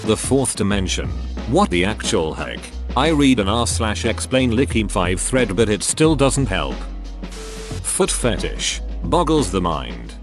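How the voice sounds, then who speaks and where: A man's voice: on the low side, sing-song, unhurried, music in the background. A man reading out internet forum posts as scripted narration, delivered in short separate statements.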